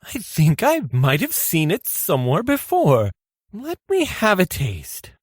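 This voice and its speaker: Lady doing a fancy food critic guy for a radio comedy, super animated, slow and pompous. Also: lowish voice, husky, no room sound, clean studio sound, full character voice. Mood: judging, theatrical. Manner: pompous, exclamatory, savouring. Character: flamboyant, theatrical male gourmet food judge. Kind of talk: comic amateur radio play acting.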